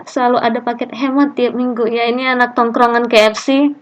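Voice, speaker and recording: A woman's voice: a little high, little pitch movement, brisk. A woman lecturer leading an online class discussion, reading student comments aloud with a chatty, joking manner. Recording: muffled lo-fi online call audio.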